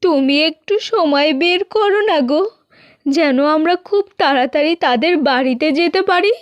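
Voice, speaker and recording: A woman's voice: high-pitched, normal speed, no echo, highs cut off, natural voice. A woman voicing a tender middle-aged mother in a cartoon, pleading and plaintive. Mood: longing, pleading. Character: tender middle-aged mother missing her married daughters. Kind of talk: scripted cartoon dubbing.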